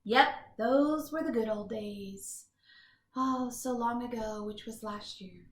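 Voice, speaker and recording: A woman voicing a self-deprecating, wistful young bean who narrates a children's storybook with expressive exclamations. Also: a little high, slow, roomy sound.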